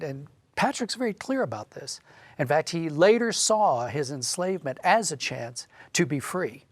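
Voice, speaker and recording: A man's voice: on the high side, no echo. A man speaking as an interview guest, explaining and telling stories conversationally with emphatic phrasing. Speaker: guest, audio drama writer and director.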